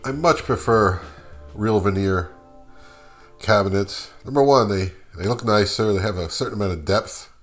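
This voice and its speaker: A middle-aged man giving a casual, matter-of-fact how-to demonstration in a relaxed, conversational way, as a hobbyist vlogger. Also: lowish voice.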